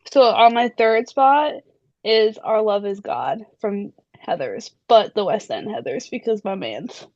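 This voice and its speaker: A young woman co-hosting a casual chat, chatty and giggly, her voice a little hoarse. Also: mid-range voice, lively intonation, a little mellow, dry recording, highs cut off. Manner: giggly, chatty, drawn-out fillers. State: slightly hoarse. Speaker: podcast co-host.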